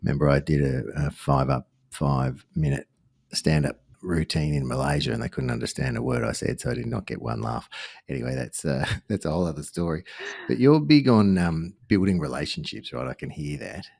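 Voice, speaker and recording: A man's voice: rumbling low, expressive, fairly quick, creaky, darkish tone, no room sound, studio quality. An Australian man hosting a podcast interview, chatty and upbeat, joking as he asks questions. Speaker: podcast host. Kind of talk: casual interview chat.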